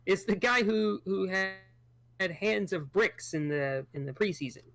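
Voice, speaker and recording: Co-host aged 30, animated intonation, dry close sound, casual sports banter. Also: a little slow, gravelly, no room sound, faint music behind. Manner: chatty, trails off into low asides. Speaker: podcast co-host.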